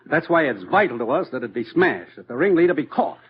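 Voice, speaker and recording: An actor playing an authoritative, dryly amused government commissioner briefing an agent in an old radio drama. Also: male voice, a little high, very animated, fairly quick. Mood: urgent, grave. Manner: crisp, authoritative, dryly teasing. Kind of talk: 1950s radio drama acting. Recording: dull vintage radio recording.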